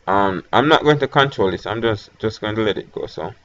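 A man with a Jamaican accent talking through a software tutorial step by step, with hesitant fillers between instructions. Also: on the low side, animated, a little fast, lo-fi sound. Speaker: tech tutorial host. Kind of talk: screen-recorded software tutorial.

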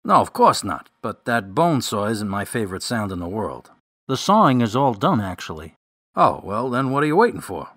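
An actor playing a gruff middle-aged police detective in a radio drama, matter-of-fact and weary. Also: male voice, fairly low pitch, big pitch swings, normal speed, a bit bright, no echo.

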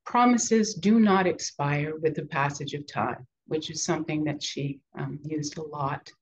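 A woman's voice: sits low, a little slow. An older woman with a cold, a playwright speaking hesitantly with many ums and restarts in a video call interview from her home study. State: has a cold, deeper voice. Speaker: playwright guest. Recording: dull lo-fi call audio, faint noise.

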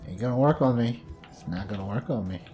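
Low-voiced man muttering through a game. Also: fast talker, mellow, slight background noise. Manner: muttering, wry, repeats phrases. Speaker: gamer recording a playthrough. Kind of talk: casual gameplay commentary, talking to himself. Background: game sounds and eerie music.

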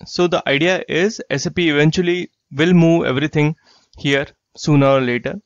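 A man walking through a software tool in a screen-share tutorial, explaining plainly and repeating himself. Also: on the high side, steady tone, normal speed, no room sound. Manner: plain explanatory, repeats phrases like "you get the idea". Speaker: software trainer. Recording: dry, slightly dull lo-fi voice track.

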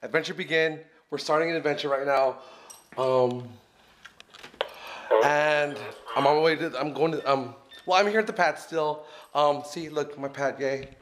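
A man vlogging from a phone held at arm's length while waiting for a bus and riding a train, rambling and chatty with lots of ums, slightly hoarse from losing his voice. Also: on the high side, moderate pace. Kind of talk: casual self-filmed travel vlog. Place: outdoors at a bus stop, then on a bus and a train. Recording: faint vehicle and street noise behind.

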